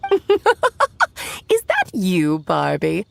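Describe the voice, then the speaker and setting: A woman's voice: fairly low pitch, wildly swooping pitch, drawn-out words, vocal fry, no echo, exaggerated voice. A woman voicing a playful, theatrical witch with an affectionate streak in a video game. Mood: playful, amused. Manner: theatrical, teasing, chuckling phrasing. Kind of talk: English video game voice acting.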